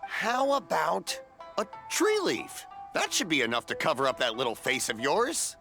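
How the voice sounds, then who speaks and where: An actor playing a jovial, generous middle-aged stall keeper in a video game, hearty and folksy. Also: male voice, on the high side, wildly swooping pitch, normal speed, vocal fry, over loud music, exaggerated voice. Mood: kindly, apologetic.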